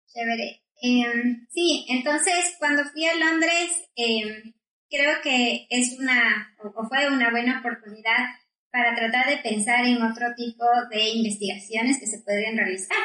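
A woman's voice: fairly high pitch, a little slow, sharp tone. Quito woman, roomy and distant. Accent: Quito Ecuadorian Spanish. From Ecuador. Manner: thoughtful, frequent "eh" fillers and self-corrections. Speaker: guest, environmental engineering lecturer. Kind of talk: conversational interview answers.

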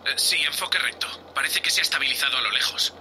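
A man's voice: mid-range voice, fast talker, bright, forceful tone, a little hiss or hum, low-quality recording. A man playing a nervous, hapless young spaceship crewman in an audio drama, stammering and hesitant. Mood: curious, focused. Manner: stammering, hesitant, breathy sighs.

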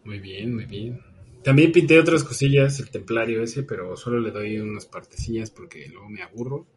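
A man's voice: lowish voice, normal speed. A man chatting as a podcast co-host, teasing and arguing in easygoing banter. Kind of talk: casual chat.

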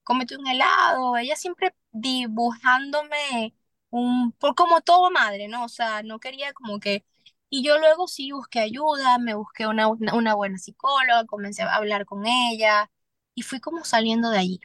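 A young Venezuelan woman, a singer-songwriter, speaking candidly as a podcast guest, earnest and halting with restarts. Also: a little high, a bit bright, no echo, studio quality. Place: Miami.

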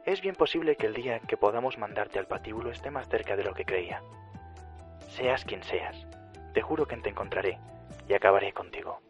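An actor playing a defiant, cool-headed detective in a radio drama, deliberate and challenging, through a broadcast filter. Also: male voice, on the low side, animated. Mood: cool, resolute. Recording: dull lo-fi broadcast, faint music.

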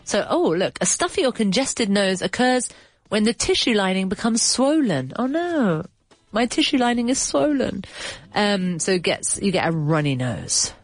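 A woman's voice: medium pitch, sing-song, moderate pace, dry recording, soft background music. A woman hosting a casual bilingual chat, explaining English words, chatty and laughing, with a runny nose. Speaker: podcast host teaching English.

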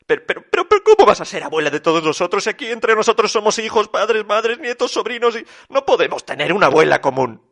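A man's voice: sits high, big pitch swings, fast talker, gravelly, few pauses, fairly bright tone, pitched above the performer's own voice, exaggerated voice. A man voicing a flustered, scandalized woman who stammers and frets in a comic story. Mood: fretful. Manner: stammering, fretful.

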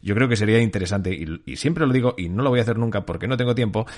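Low-voiced male interview host. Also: lively intonation, racing. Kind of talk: podcast interview hosting.

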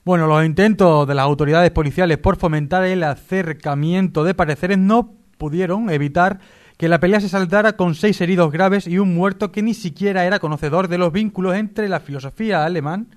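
A man's voice: high voice, brisk. A man with an accent from Spain, co-hosting a radio comedy and reading spoof news with casual asides.

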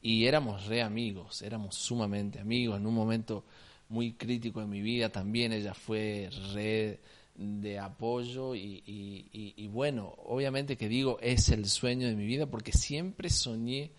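Slow-drawling man from salta. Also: fairly low pitch, steady tone. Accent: Salta Argentine Spanish. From Argentina, Salta. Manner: warm, slow drawling tonada with repetitions. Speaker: guest. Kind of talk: casual interview chat.

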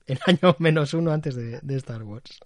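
A man's voice: medium pitch, moderate pace, a little mellow, no room sound. A man with an accent from Spain, hosting a podcast in a chatty, joking way. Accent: Spanish from Spain. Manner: jokey, chatty, laughs often. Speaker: podcast host. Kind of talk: casual podcast chat.

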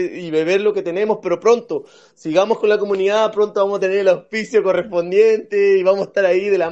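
A man's voice: high-pitched, a little mellow. A man with a Chilean accent chatting casually as a podcast co-host, often repeating words as he searches for the next. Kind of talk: casual chat. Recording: dull lo-fi audio, music behind. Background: background music.

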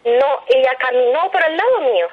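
Chilean woman, higher-pitched, on a dull recording. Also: animated, a little fast. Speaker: interviewed hospital night-shift worker. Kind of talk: recounting a personal experience in an interview.

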